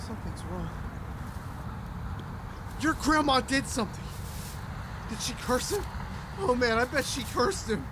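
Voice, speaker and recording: An actor playing an alarmed, excitable young kid in an audio drama, talking urgently. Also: mid-range voice, measured pace, long pauses, noisy background.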